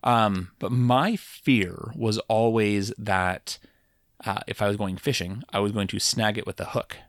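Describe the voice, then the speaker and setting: A middle-aged man co-hosting a podcast, chatting casually in a relaxed, rambling way with halting restarts. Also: on the low side, no room sound. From Puget Sound, Washington. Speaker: podcast co-host. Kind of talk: casual chat.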